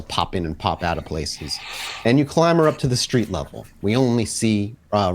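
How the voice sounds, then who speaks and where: A man narrating a tabletop roleplaying game as storyteller, describing scenes off the cuff with pauses. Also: lowish voice.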